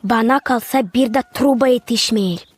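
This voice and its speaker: Woman as an eager, high-voiced young cartoon musician. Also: normal speed, no echo, lightly acted. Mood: pleased. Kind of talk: animated cartoon voice acting. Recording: music behind the voice.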